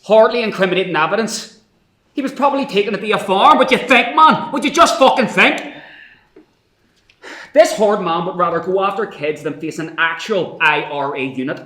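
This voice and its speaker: A man's voice: high voice, expressive, normal speed, bright, forceful tone. A man playing an exasperated, commanding paramilitary leader in a screenplay table read. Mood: angry, exasperated. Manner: commanding, sweary, urgent. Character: exasperated paramilitary leader struggling to keep control. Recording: echoey room.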